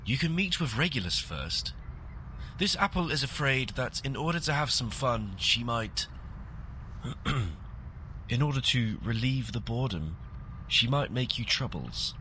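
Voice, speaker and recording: Bright, formal talking apple gentleman. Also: mid-range voice, very animated, unhurried, a little hiss or hum. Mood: formal, measured. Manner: formal, refers to himself in third person. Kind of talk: video game voice acting.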